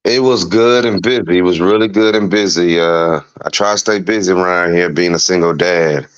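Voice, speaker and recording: A man's voice: low voice, moderate pace, clean tone. A man chatting casually as a guest on a show, over a muffled remote connection. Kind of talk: casual chat.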